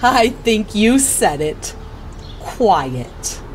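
A woman voicing a proud, stern lioness queen and mother in a regal, measured manner for a comic dub. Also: mid-range voice, sing-song, a little hiss or hum. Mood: fond. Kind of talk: comic dub character acting.

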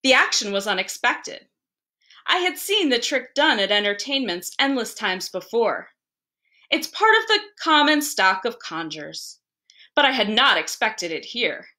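Woman, story narration, bright tone, higher pitch, deliberate theatrical phrasing, read aloud. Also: sing-song. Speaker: library staff reader.

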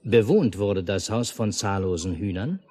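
An actor playing a calm storyteller in a 1950s radio play, narrating a lakeside village tale in measured, literary phrases. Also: male voice, fairly low pitch, husky. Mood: measured storytelling. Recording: noisy 1950s mono radio recording.

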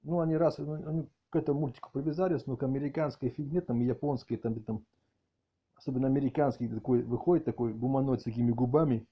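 A middle-aged man with a husky voice doing a mock-outraged comic rant, halting and repeating words as he exclaims. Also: mid-range voice. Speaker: video channel host. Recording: dull lo-fi audio, faint music behind.

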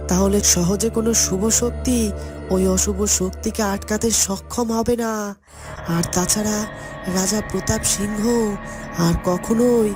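A woman playing a boastful, gloating evil sorcerer in a fairy-tale cartoon, speaking deliberately with emphatic repetition. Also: medium pitch, steady tone, music in the background, natural voice.

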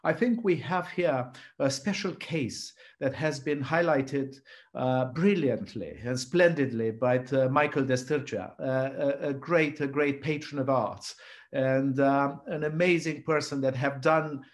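Middle-aged host, halting delivery. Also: male voice, mid-range voice. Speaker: event host introducing speakers. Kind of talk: unscripted introduction on a video call. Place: living room at home. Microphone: video call, seated at computer.

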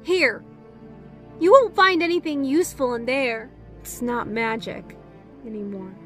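A woman's voice: high voice, a little slow, fairly bright tone, soft background music. A woman voicing an earnest, troubled but defiant teenage princess in a cartoon comic dub.

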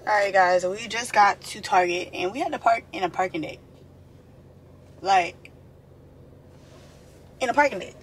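A woman's voice: mid-range voice, measured pace, long pauses. A woman in her twenties chatting casually and informally to her viewers as a vlogger. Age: 20s. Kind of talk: casual vlog chat. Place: parked car and a room at home, indoors. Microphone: camera at arm's length or propped close. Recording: noisy ambient camera audio.

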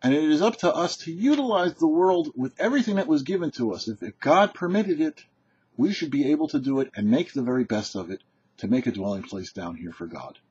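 An older man, a rabbi in his sixties, giving a short, deliberate religious lesson in an explanatory, didactic way. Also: a little high, animated, darkish tone, husky. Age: older, about 60s. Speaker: rabbi hosting a weekly Torah portion show. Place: indoor home office or study. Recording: muffled, dull webcam audio.

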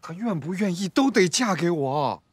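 A man's voice: sits high, lively intonation, moderate pace, slight background noise. An actor in a TV drama playing a put-upon young adjutant, impatient and whiny as he protests. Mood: irritated. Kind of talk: TV drama acting.

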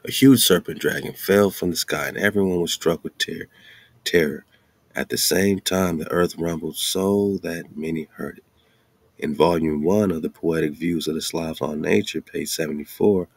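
A man's voice: low voice, creaky, no room sound. An American man hosting, reading a text aloud and breaking into colloquial, off-the-cuff commentary with emphatic asides. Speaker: host.

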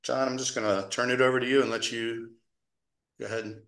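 A man's voice: medium pitch, level tone, fairly quick, a bit bright, roomy sound. A man chairing an online meeting, casual and hesitant with many fillers, speaking over a video call. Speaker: meeting moderator.

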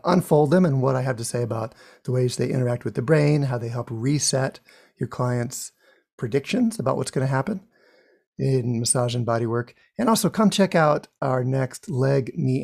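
Gravelly husky guy in his 50s pitching his bodywork class to camera, close studio mic in a small home room. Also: darkish tone. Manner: earnest, conversational, with some restarts. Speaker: bodywork instructor. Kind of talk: direct-to-camera promo talk. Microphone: large studio condenser mic up close.

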